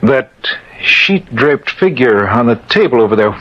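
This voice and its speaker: An actor playing a wary, sober scientific colleague who also narrates, grave and hesitant, in a 1950s radio drama. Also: male voice, mid-range voice, expressive, measured pace. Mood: uneasy wonder. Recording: muffled old radio with music behind.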